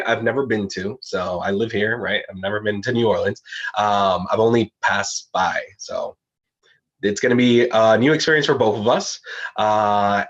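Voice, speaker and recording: Upbeat male interviewer with dull audio. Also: medium pitch. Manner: enthusiastic, supportive, repeats words. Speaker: pageant analyst and interviewer. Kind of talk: live online interview. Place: United States.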